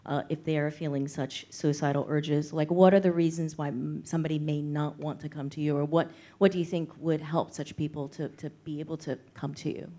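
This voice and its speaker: Low, mellow-voiced woman moderating. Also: little pitch movement, fairly quick, hardly any pauses. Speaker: panel moderator. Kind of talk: moderated panel discussion, posing questions.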